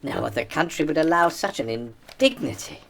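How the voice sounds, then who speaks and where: A woman's voice: deep voice, big pitch swings, normal speed, gravelly, heavily acted. A woman playing a pessimistic, scornful wartime office worker with a British accent in a radio play. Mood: scornful, despairing.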